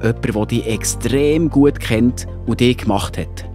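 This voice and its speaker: A man playing a loving, patient father in a children's audio drama, gently reassuring, with a Swiss German accent. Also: mid-range voice, measured pace, soft background music, lightly acted.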